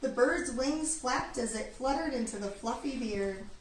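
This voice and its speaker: A woman reading a children's storybook aloud in an expressive, deliberate storytelling manner. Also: a little high. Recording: echoey room, distant mic, background noise.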